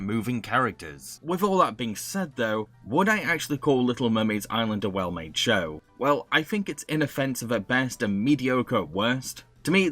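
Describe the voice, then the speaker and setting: A man's voice: mid-range voice, a bit bright. A man with a British accent hosting a scripted video review in a wry, opinionated way.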